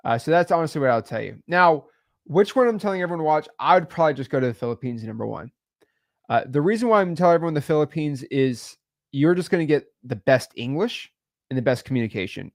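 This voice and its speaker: A young man talks through business advice in a loose, rambling way, full of filler words. His voice sits a little high and climbs and drops often as he gets excited. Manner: rambling, many fillers, animated swings in loudness. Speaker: podcast co-host. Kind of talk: casual business advice chat.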